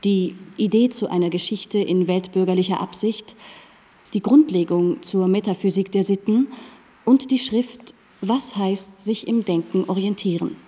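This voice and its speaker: A woman narrating a radio play as a composed, erudite guide, with measured, articulate and factual delivery. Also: mid-range voice, mellow, slightly rough, big-room sound.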